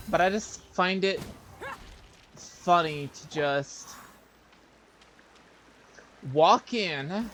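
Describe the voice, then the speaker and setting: A man's voice: on the high side, a bit bright, a little hiss or hum. A man giving casual, joking live commentary while streaming a video game.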